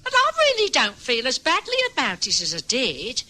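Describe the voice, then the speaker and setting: A woman with an English accent acting a worried, gentle older wife in a radio drama, speaking in a soothing, coaxing way. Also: high-pitched, huge pitch swings, moderate pace, full character voice. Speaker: wife Emma.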